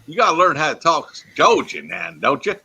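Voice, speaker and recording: A man's voice: on the high side, huge pitch swings, brisk, creaky, sharp tone, a little hiss or hum. A man on a casual livestream chat, joking and teasing the others. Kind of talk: casual banter.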